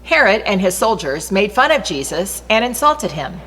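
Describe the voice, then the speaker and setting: A woman reading aloud from the Bible as an expressive scripture narrator. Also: medium pitch, moderate pace, a little hiss or hum. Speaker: scripture reader. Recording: long reverberant hall echo.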